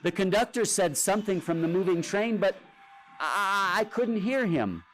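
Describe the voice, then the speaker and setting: A man reading a children's story aloud in a theatrical, expressive way with drawn-out pauses. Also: high voice. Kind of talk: dramatic children's storytime narration. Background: music and sound effects.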